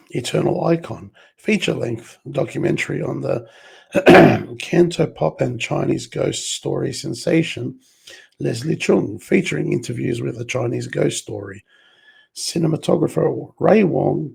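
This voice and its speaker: A croaky man with a very gravelly, raspy voice, clearly a little under the weather. He talks slowly and clears his throat now and then as he walks through a disc collection, reading the back covers aloud. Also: mid-range voice, darkish tone. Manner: slow, clears throat often. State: hoarse, a bit under the weather. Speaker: collector reviewing a film set.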